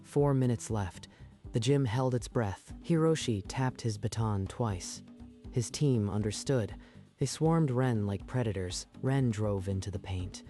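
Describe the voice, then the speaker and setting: A man with a low, soft-edged voice delivers dramatic scripted narration over faint background music. He sounds like the storyteller of an action tale. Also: a little slow.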